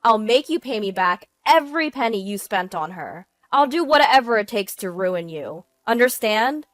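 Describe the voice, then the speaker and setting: A woman's voice: medium pitch, lively intonation, a little slow, no room sound, lightly acted. A woman voicing a scornful betrayed wife with a cutting, unyielding manner in a dramatized story. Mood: furious.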